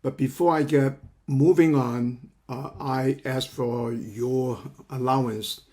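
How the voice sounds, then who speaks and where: Halting older man. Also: medium pitch, steady tone, mellow. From China. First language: Cantonese. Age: 70s. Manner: halting, repeats words while searching for phrasing. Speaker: counseling psychology teacher. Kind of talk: lecture introduction.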